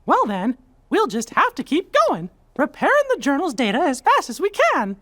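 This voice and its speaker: Squeaky, earnest little cartoon mouse king. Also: wildly swooping pitch, moderate pace, full character voice. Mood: concerned, resolute. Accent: American. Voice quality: squeaky falsetto. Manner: earnest, gentle, encouraging. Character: Mickey, small kindly cartoon mouse king, earnest and brave. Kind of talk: animated video game voice acting.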